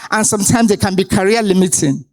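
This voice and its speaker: A woman, moderating a live conference panel and introducing the speakers in a deliberate, authoritative way. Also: medium pitch. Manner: deliberate, authoritative delivery with pauses. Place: conference hall stage.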